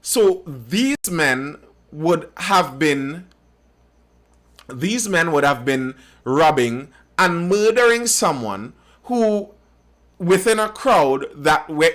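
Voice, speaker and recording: A man's voice: a little high, sing-song, slow, fairly bright tone. A Trinidadian man hosting a live stream, commenting on news and reading viewer comments, often repeating phrases as he thinks aloud. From Trinidad and Tobago.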